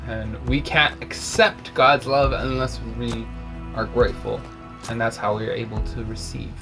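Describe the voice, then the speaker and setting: A man who grew up in Russia, co-hosting a casual video chat in a relaxed, reflective way. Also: medium pitch, moderate pace, over music. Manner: relaxed, reflective, talks about faith. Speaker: co-host.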